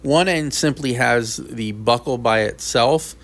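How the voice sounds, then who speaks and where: A man's voice: mid-range voice, unhurried. A man narrating an unboxing and review, explaining features step by step in a plain, matter-of-fact way. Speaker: product reviewer.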